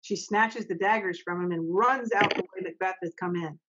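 A woman's voice: lowish voice, normal speed, a bit bright. Middle-aged woman narrator, dull call audio. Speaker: stage manager reading stage directions. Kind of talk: plain reading of script directions. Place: home office, indoors. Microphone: computer mic on a video call.

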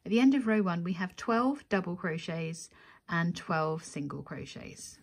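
A woman's voice: lowish voice, expressive, a little slow. A woman narrating a step-by-step craft tutorial as the instructor, methodical and counting steps aloud.

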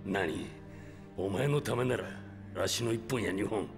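An actor playing an older, fatherly yakuza patriarch in a video game, gravelly, protective and stoic. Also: on the low side, a little slow. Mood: reassuring, pained. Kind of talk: dramatic video game acting. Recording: music behind.

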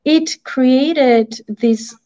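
A woman's voice: high-pitched, extremely slow, dark tone. A woman in her thirties from Armenia with an Armenian accent, a native Armenian speaker, talking conversationally as an interview guest on a video call. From Armenia (Artsakh). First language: Armenian (Artsakh dialect). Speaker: picture book author, interview guest. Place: indoor room, video call. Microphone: video call microphone. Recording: slightly dull video-call audio.

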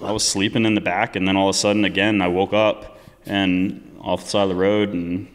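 A man in his thirties from the US East Coast, a guest telling racing stories in relaxed, casual talk that trails off and swears freely. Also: sits low, fairly quick, big-room sound. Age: 30s. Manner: laid-back, swears casually, trails off often. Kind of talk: casual storytelling chat.

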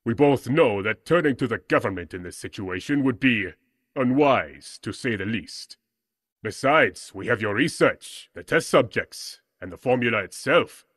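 A cold corporate negotiator in an audio drama. He speaks in a husky, measured way, coolly condescending. The sound is close and dry. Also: medium pitch, a bit bright, no room sound.

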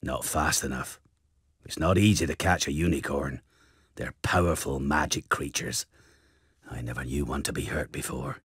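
An actor playing a gruff but kindly giant groundskeeper in a video game, with a gravelly, husky voice. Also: male voice, rumbling low, moderate pace, a bit bright, dry recording, clean studio sound, heavily acted. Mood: grave, cautioning. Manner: folksy, rustic, earnest. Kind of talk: video game character acting.

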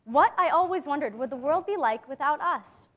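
A young woman playing an earnest, hopeful teenage girl in a staged dramatic reading, measured in her delivery. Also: high voice, animated, fairly bright tone. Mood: reflective. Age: young adult. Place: theatre stage before a seated audience. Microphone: clip-on lapel mic.

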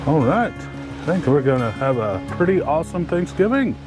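Chatty man with lively pitch. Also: moderate pace. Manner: relaxed, chatty, explains steps. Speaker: cooking show co-host. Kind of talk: casual cooking demo. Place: outdoor campsite. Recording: music playing behind.

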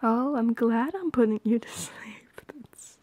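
Soft whispery high-voiced woman, intimate ASMR. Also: measured pace, no room sound. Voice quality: soft, breathy, often whispery. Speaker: ASMR roleplay performer. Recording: band-limited, phone-like sound.

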